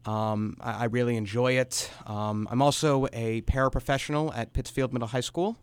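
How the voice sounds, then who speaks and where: A man's voice: medium pitch, fairly even intonation, moderate pace, smooth, no echo. A nineteen-year-old American man giving casual interview answers as a guest on a show. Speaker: guest, amateur actor.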